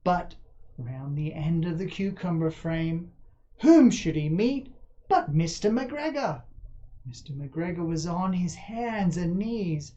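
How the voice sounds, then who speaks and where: A woman reading a children's story aloud as scripted narration, deliberately, with dramatic emphasis on key words. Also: lowish voice, lively intonation, warm tone, highs cut off. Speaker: storybook reader.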